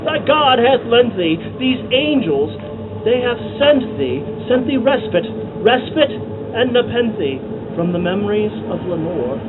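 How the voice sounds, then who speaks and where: Through a muffled, very noisy outdoor recording comes a man playing a grief-maddened narrator of gothic verse. He declaims theatrically and very slowly, with long pauses. The voice is pitched high, haunted and desperate. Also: sing-song, full character voice. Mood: anguished outburst. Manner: declamatory, long dramatic pauses, swelling to shrieks. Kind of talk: theatrical dramatic poetry recitation. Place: outdoors at night by a cornfield. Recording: muffled amateur outdoor recording, loud background noise.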